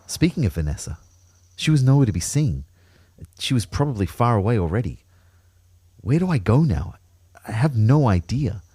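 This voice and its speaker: A man with an Australian accent playing a bemused, wry first-person narrator in an audio drama, with a husky, expressive voice. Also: mid-range voice, normal speed, long pauses, no room sound. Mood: puzzled, reflective.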